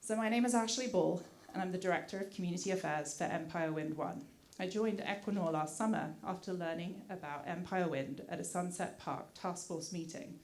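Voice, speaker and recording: A woman's voice: fairly low pitch, brisk, hardly any pauses, a little mellow. A woman who grew up in the UK and speaks with a mixed British accent, addressing a community meeting as director of community affairs, polite and thankful, with many "uh" fillers. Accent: British with some American mix. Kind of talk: prepared public remarks at a community meeting.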